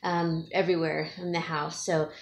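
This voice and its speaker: A woman's voice: deep voice, level tone, moderate pace. A woman who works as a home stager, talking casually to her viewers in a rambling, chatty way, with a sniffle from allergies. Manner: rambling, chatty, with fillers and small laughs. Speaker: home stager and DIY vlogger. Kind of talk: casual chat to camera. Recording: echoey room, distant from mic, faint hiss.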